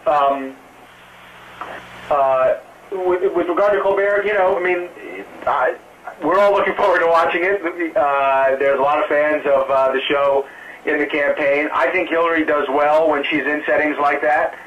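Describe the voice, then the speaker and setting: Muffled, distant phone-line man, halting spokesman. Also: fairly high pitch, fairly even intonation, fairly bright tone, slight background noise. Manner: halting, careful, hedging delivery. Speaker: political campaign spokesman. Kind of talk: press conference call, answering questions off the cuff. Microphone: telephone conference call.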